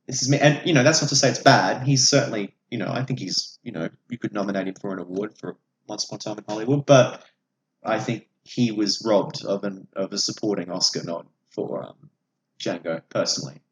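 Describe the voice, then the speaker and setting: A man's voice: fairly low pitch, fairly quick. A man, an opinionated podcast host who swears freely while casually discussing films. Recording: echoey room, audible background noise.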